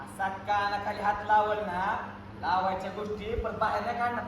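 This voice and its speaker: A man's voice: sits high, fairly even intonation, normal speed, noisy background, close to an everyday voice. A man with a rural Maharashtrian accent acting a bossy, foul-mouthed labour gang foreman in an amateur stage play, barking orders and sarcastic threats. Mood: threatening. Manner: barks orders and taunts. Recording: echoey hall, distant mic, audience and music.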